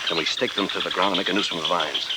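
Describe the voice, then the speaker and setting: An actor playing a tough, resourceful adventurer hero in clipped 1940s radio style. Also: male voice, sits low, steady tone, rapid-fire, close to an everyday voice. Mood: cool, determined. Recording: very noisy old 1940s radio recording.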